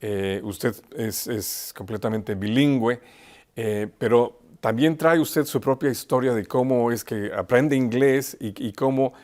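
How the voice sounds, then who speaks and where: A man's voice: mid-range voice, measured pace, darkish tone. A man interviewing a guest conversationally, hesitating and repeating words as he forms his questions. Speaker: interviewer, host.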